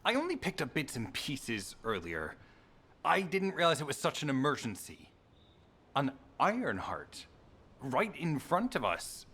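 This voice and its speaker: An actor playing a cocky, boastful, prickly young hero in a video game, with a brash, showy delivery. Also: male voice, on the high side, huge pitch swings, a little slow, sharp tone, slightly rough, exaggerated voice.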